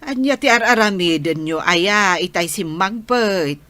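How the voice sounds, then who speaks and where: A woman's voice: mid-range voice, expressive, measured pace, sharp tone, no room sound. A woman playing an inquisitive, concerned older mother in a radio drama, questioning in a conversational way. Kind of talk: Filipino radio drama acting.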